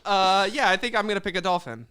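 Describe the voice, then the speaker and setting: A man as a podcast guest, musing out loud and joking hesitantly in a casual chat. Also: high-pitched, lively intonation, fast, over a noisy crowd.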